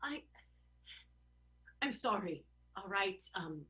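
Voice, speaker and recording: A woman playing a boozy, lovelorn, volatile character in an improvised comic radio soap, with overwrought, drawn-out melodrama. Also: mid-range voice, animated, sharp tone, husky, full character voice. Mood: guilty, subdued. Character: wild, boozy, lovelorn woman with murderous temper.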